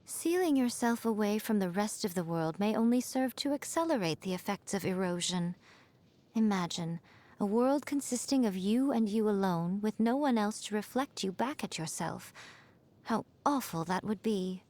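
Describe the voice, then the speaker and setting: A woman voicing a sly, elegant fox shrine maiden for a video game, poised and coy, with a teasing, wryly condescending manner and drawn-out phrasing. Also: mid-range voice, lively intonation, darkish tone, lightly acted. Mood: grave, musing. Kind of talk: scripted video game character voice lines.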